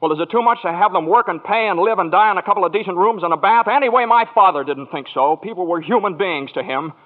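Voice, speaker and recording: A man's voice: high voice, fast talker, lightly acted. An actor playing a frustrated, idealistic young small-town man in 1940s radio drama, with hesitant, stammering, folksy delivery. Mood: indignant. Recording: tinny, band-limited vintage radio broadcast.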